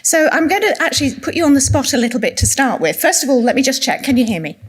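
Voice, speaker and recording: A soft-spoken woman with a slightly high voice presents in a conference room. She speaks as a clinical nurse specialist and keeps the audience involved with questions. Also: big pitch swings, fast, breathy edge.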